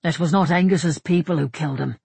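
A narrator voicing a soft, grave, probing monk, crisp man. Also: medium pitch, dry recording. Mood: grave, certain. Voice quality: soft-voiced. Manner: grave, measured, reassuring. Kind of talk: audiobook character dialogue.

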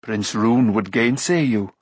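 A wise warrior prince from a storybook fantasy, read by a male narrator. He is grave and compassionate, speaking in measured, solemn phrases with a gentle authority. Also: medium pitch, husky, no room sound. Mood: wise counsel.

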